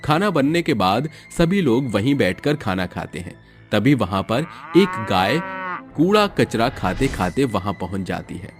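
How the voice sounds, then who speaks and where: A man's voice: mid-range voice, big pitch swings, unhurried, over music. A man narrating a scripted moral story as a storyteller.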